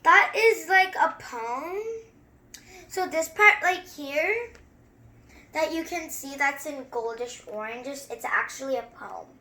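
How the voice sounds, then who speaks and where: A female story-time host reading aloud and adding casual, chatty commentary in a halting way. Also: high-pitched, animated, pushed and bright. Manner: halting word-by-word reading, informal chatty asides. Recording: roomy echo.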